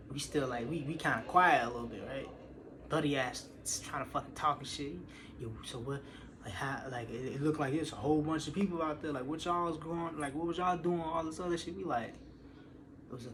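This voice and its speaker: A young American man casually telling a personal story in slangy, expletive-heavy speech, acting out what people said. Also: medium pitch. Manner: animated slangy retelling with acted-out quotes, swearing freely. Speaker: online storyteller. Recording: clearly noisy background.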